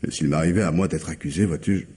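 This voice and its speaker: An actor playing a weary, evasive man of forty, an anxious and loving husband who speaks haltingly and pleadingly in an old French radio mystery. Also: extremely low pitch, fairly even intonation, dark tone, breathy edge, heavily acted. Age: 40s.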